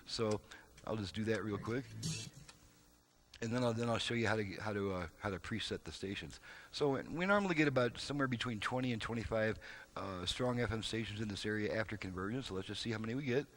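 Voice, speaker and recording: A man's voice: on the low side, fast talker, a bit bright, slight background noise. An American man giving a casual, unscripted demonstration as a repair technician, explaining things step by step in a plain, folksy way.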